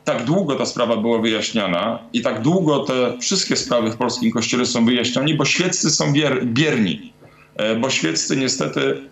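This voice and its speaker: A man in his forties talks slowly and deliberately, pausing often as he weighs each point. His voice sits at an ordinary middle pitch. It comes over a webcam from a book-lined home study with a roomy echo. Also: gravelly, fairly bright tone. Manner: halting, deliberate, many pauses. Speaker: guest commentator. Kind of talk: remote talk-show interview. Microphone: video call webcam. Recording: roomy home study via video call.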